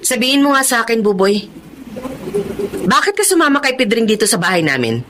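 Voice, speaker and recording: A woman's voice: on the high side, big pitch swings, a little fast, noisy background. A suspicious stern mother. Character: wary, stern middle-aged mother. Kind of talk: radio drama acting.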